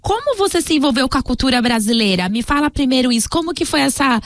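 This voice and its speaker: A woman's voice: high voice, sing-song, quick pace, no room sound. A woman hosting an interview, asking questions with enthusiasm and heaping praise on her guest.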